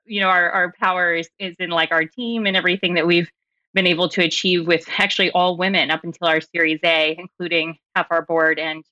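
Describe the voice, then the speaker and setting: A woman's voice: fairly low pitch, bright, forceful tone, no room sound, dull audio. A woman talking casually and chattily as a startup founder introducing herself, in a rambling way with small chuckles. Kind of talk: casual spoken self-introduction.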